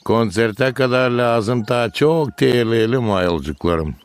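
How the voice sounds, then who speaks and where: A man's voice: mid-range voice, takes it slowly, clean tone, dry recording. A man playing a kindly grown-up cartoon mentor who speaks reassuringly to youngsters. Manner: reassuring, addressing children. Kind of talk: animated cartoon voice acting.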